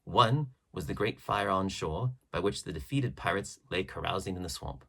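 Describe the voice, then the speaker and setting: A man reading a classic adventure novel aloud as expressive, measured audiobook narration with emphatic phrasing. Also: sits low, gravelly, breathy edge. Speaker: audiobook reader.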